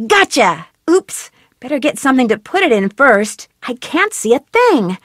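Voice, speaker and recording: A young female game detective: high, animated, dry booth recording. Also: normal speed, dry recording, exaggerated voice. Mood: curious. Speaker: game protagonist.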